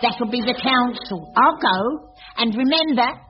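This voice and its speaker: A brassy, cheeky cleaner. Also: female voice, on the high side, lively intonation. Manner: bawdy, quick with innuendo. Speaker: Elsie, cleaner. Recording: dull old radio broadcast with faint hiss.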